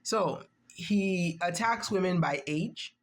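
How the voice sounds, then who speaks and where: A woman's voice: fairly low pitch, slow pace. A woman giving a reaction-channel commentary monologue, emphatic and rhetorical, often checking in with the listener.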